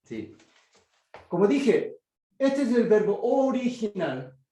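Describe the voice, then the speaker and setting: A man's voice: high-pitched, big pitch swings, takes it slowly, dark tone, roomy sound. A man with a Korean accent, speaking Spanish as a second language, teaches a grammar class and keeps checking that students follow along. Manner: checks understanding with frequent "¿sí?". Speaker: teacher of biblical Greek. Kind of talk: online class lecture.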